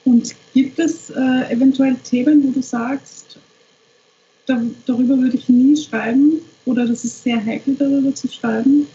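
Flat-voiced, mellow woman interviewer. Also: high-pitched, unhurried. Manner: brief, calm questions and short affirmations. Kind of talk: interview questions. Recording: dull lo-fi sound.

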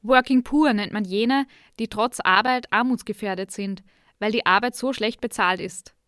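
A woman narrating a podcast reportage in a calm, even reading voice. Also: mid-range voice, moderate pace, clear, resonant tone, dry recording, studio quality. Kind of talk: scripted narration.

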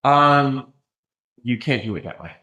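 A man explaining in a lecturing way in conversation, often pausing with ums and restarting his sentences. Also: medium pitch, expressive, sharp tone. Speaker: interview guest.

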